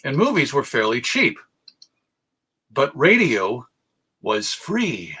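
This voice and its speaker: A man presenting a history lecture in a measured, deliberate way, pausing for emphasis. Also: mid-range voice, huge pitch swings, bright, forceful tone, slightly rough.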